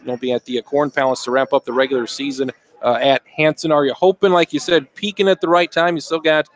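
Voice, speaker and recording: A man's voice: mid-range voice, steady tone, fast talker. A man hosting a sports radio show, asking conversational, leading questions.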